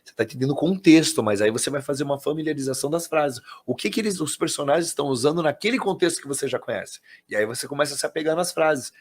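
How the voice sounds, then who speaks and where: A man's voice: on the high side, racing, hardly any pauses, slightly rough. A man with a Brazilian accent, an English teacher chatting casually and talking straight to his viewers. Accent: Brazilian Portuguese. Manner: animated, talks straight to viewers as "gente".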